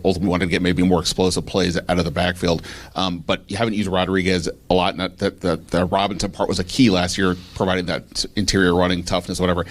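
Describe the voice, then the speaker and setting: Fast, low, flat-toned male reporter. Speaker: sports reporter. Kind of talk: press conference questioning.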